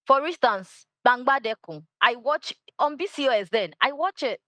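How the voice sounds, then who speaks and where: A woman's voice: sits high, animated, moderate pace, a bit bright, no room sound. Passionate woman, dry sound. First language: Yoruba. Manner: passionate, emphatic, repeats key phrases. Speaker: guest, culture advocate. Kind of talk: interview answers.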